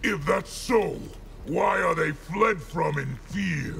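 Big mean warlord general, gravelly and slow, arrogant booming threats, video game. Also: male voice, a bit bright, heavily acted. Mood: menacing. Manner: menacing, imperious. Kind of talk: video game fight dialogue.

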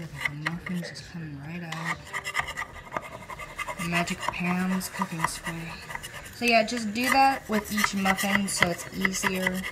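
A woman's voice: medium pitch, heavy background noise. A young woman chatting casually through a home baking video, filling her talk with "like" and "you know". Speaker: cooking vlogger. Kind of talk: casual home cooking tutorial. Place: home kitchen, indoors. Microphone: phone or camera at arm's length. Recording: music playing underneath.

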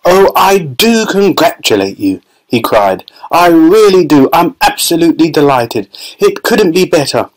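A man voicing an excitable, whimsical candy maker who talks in giddy, exclamatory bursts. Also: on the high side, a little slow, few pauses, pitched above the performer's own voice, rough recording. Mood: delighted.

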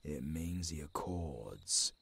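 Very deep, gravelly old British werewolf. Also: male voice, expressive, fairly bright tone, exaggerated voice. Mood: gruff, confiding. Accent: British, London. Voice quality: very deep, raspy, gravelly growl. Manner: slow, rough, swearing, commanding. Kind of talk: audio roleplay acting.

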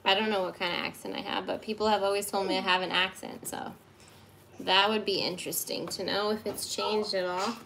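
A woman's voice: medium pitch, fairly even intonation, brisk, gravelly, a bit bright, a little hiss or hum, poor audio. Giggly woman chatting while baking. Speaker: livestream baking host. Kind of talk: casual chat while baking. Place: home kitchen table, indoors. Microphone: phone camera on the table.

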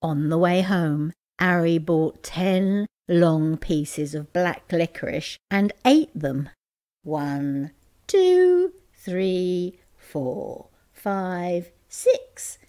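Woman doing storytime for kids, really slow n animated, playful delivery. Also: lowish voice. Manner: expressive, counts out words playfully. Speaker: picture-book reader. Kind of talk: dramatic read-aloud children's storytelling.

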